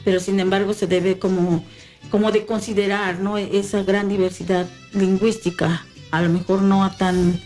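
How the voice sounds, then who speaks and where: A woman's voice: mid-range voice, flat delivery, moderate pace, darkish tone. Hesitant woman, music behind. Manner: hesitant, many fillers and restarts. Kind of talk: casual conversation.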